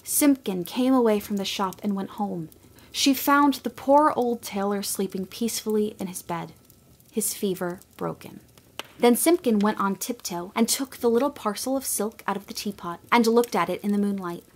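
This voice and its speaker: A woman giving scripted storybook narration for a radio play, gentle and expressive, sometimes dropping to a hush. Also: mid-range voice, normal speed.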